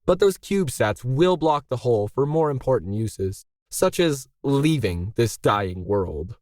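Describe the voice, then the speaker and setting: A man's voice: mid-range voice, animated, unhurried, a little mellow, no room sound, lightly acted. Man as audiobook tycoon villain, smug, condescending, formal, persuasive, close dry sound. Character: scheming billionaire man, smug, coolly persuasive.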